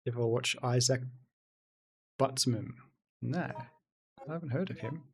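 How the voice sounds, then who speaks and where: Gravelly-voiced male game streamer. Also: medium pitch, a little slow, a little hiss or hum. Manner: chatty, reads and answers chat aloud. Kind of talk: casual let's-play commentary.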